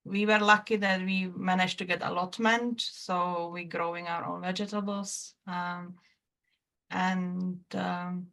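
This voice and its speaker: Czech-accented woman, low gravelly voice. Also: level tone, sharp tone. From former Czechoslovakia. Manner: halting, many restarts and fillers, non-native grammar. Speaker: guest, trauma therapist. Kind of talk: casual interview talk.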